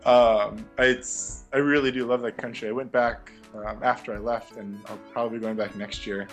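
A young man talks about his years of teaching music abroad, speaking casually and thoughtfully. His pitch sits in a typical middle range, and he leans on ums and you-knows as he gathers his thoughts. Faint music plays quietly behind him. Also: steady tone, moderate pace. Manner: reflective, many fillers and restarts, laughs lightly. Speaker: interview guest, former music teacher. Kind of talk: casual conversational interview.

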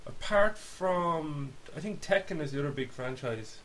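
A man's voice: fairly high pitch, animated, a little slow, fairly bright tone. A man co-hosting a casual podcast about films and games, talking bluntly and swearing freely as he dismisses things. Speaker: podcast co-host. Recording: hissy podcast recording with background noise.

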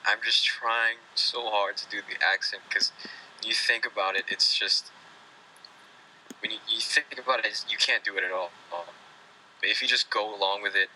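A man's voice: on the low side, moderate pace, long pauses, a little hiss or hum, rough recording. A man co-hosting a casual podcast, telling stories with a lot of laughter. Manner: laughing, animated storytelling. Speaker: podcast co-host. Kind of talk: casual chat.

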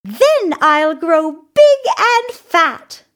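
A woman voicing a small, innocent young male billy goat in a children's story, squeaky and earnest. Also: very animated, drawn-out words, a bit bright, exaggerated voice. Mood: innocent, eager.

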